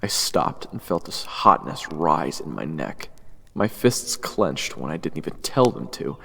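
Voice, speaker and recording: A man's voice: a bass voice, big pitch swings, a little slow, gravelly, husky, heavily acted. A man playing a brooding first-person narrator of a fantasy story, in dramatic scripted narration. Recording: long reverb over soft music.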